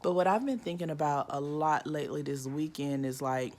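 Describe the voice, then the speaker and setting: A woman in her early forties talking casually and reflectively to camera, with many "like" and "um" fillers, acting out inner voices with sudden pitch jumps. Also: brisk, a little mellow. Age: 40s. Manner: frequent "like" and "um" fillers. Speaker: vlogger.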